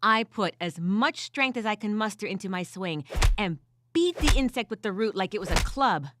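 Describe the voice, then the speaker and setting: Woman playing furred female spellcaster adventurer, worn-out yet scrappy, lively pitch swings, wry dramatic storytelling, audio drama, some background noise. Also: moderate pace, natural voice. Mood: tense, urgent. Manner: dramatic first-person storytelling with wry asides. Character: weary, gritty furred female adventurer casting wind spells.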